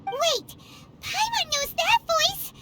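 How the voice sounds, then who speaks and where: Super high squeaky little game fairy, childlike n excitable, voice bounces all over, music behind. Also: female voice, slow pace, very noisy, full character voice. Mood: startled. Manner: exclaiming, talks of self in third person. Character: tiny excitable floating fairy companion, childlike and chatty. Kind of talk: video game character acting.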